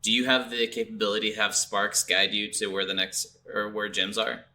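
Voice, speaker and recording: A man's voice: on the low side, little pitch movement, a little fast, bright, forceful tone. A man co-hosting a video game podcast in casual, conversational talk, sounding a little distant from the microphone. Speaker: podcast co-host reviewing video games. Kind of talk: casual chat.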